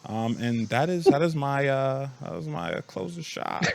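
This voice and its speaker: A man co-hosting a podcast in casual sports chat, halting and laid-back in the way he talks. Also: mid-range voice, lively intonation, gravelly, darkish tone. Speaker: podcast co-host.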